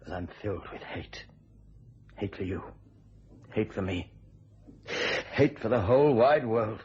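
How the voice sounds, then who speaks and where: Middle-aged British man, tormented hangman, hateful, intense, highly animated pitch, dull radio drama recording. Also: unhurried, slight background noise. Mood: seething hatred. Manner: overwrought, intense. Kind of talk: radio thriller acting.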